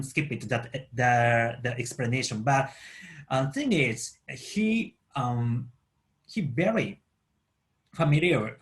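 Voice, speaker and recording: A Japanese man speaking English as a second language with a Japanese accent, explaining a book in a halting way as he searches for words. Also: medium pitch. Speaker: book channel host.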